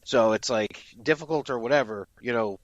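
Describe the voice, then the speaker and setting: A man's voice: medium pitch, normal speed, muffled recording. A man in a casual film review chat, talking in a loose, easygoing way. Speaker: podcast co-host.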